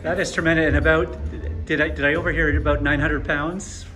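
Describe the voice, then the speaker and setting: Bright-voiced male interviewer behind the camera. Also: fairly high pitch, little pitch movement, fast, faint music behind. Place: hotel conference room at a trade show. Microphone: behind the camera, close to its mic.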